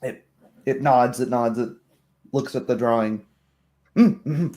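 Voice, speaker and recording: Slow, mellow male game master. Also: mid-range voice, little pitch movement. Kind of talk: tabletop roleplay narration.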